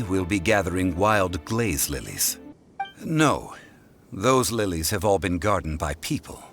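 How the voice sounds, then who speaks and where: Calm refined scholar gentleman, game character, real deep voice w lilting delivery, formal, music behind. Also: exaggerated voice. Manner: formal, measured, courteous, explanatory. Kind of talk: video game character dialogue.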